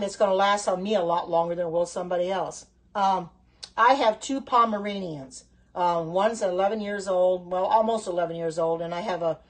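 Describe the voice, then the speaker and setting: A woman's voice: lowish voice, poor audio. Chatty older woman baking live. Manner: chatty, greets and answers viewers by name, meandering; frequent ums and restarts, homespun sayings. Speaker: home cooking livestreamer. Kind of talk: casual live-stream chat while baking.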